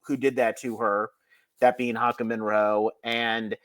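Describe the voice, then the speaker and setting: A man's voice: medium pitch, flat delivery, a little slow, a bit bright. A man co-hosting a podcast, recapping a story in casual conversation. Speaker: podcast co-host recapping an episode. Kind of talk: casual chat, plot recap.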